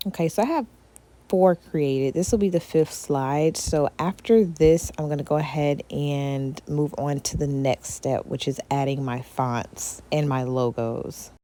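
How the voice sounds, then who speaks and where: A woman who runs a small craft business, talking through a design tutorial in a relaxed, chatty way with lots of filler words. She sounds congested from a cold. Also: sits low, measured pace. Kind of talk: casual screen-recorded how-to walkthrough.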